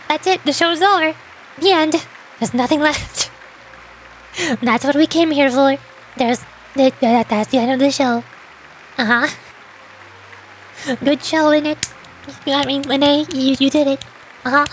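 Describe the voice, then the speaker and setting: A woman's voice: high-pitched, steady tone, slow, pauses often, a little hiss or hum, rough recording. A woman streamer chatting casually and exasperatedly as she plays a game. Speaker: streamer playing and voicing game characters. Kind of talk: casual live-stream commentary.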